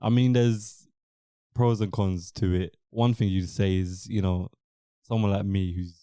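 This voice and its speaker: English guy hosting a podcast, low flat voice, rambly and laid back. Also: measured pace, clear, resonant tone, no room sound, studio quality. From England. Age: young adult. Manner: relaxed, rambling, many fillers. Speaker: podcast host. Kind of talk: casual podcast chat.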